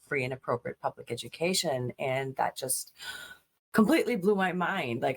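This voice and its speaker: A woman in her forties from Pakistan, an education director, answering interview questions earnestly with frequent fillers. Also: low-pitched.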